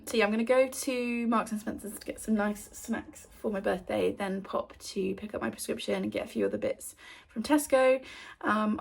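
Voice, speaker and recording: Chatty woman with a gravelly voice. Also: mid-range voice. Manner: chatty, rambling, with frequent ums. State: breathless and wheezy from a cough. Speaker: book vlogger. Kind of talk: casual vlog chat. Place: at home.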